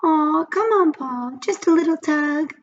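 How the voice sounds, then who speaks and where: A woman's voice: high-pitched, a little slow, highs cut off, pitched above the performer's own voice. A woman voicing a small talking pigtail from a children's story, whiny and coaxing. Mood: wheedling. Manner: cajoling, whiny. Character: pleading, wheedling little talking pigtail.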